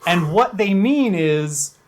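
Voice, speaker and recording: A podcast host speaking in a slow, deliberate way, setting up each point before he explains it. He swears casually, and his voice sits a little high. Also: lively intonation. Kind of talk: casual chat.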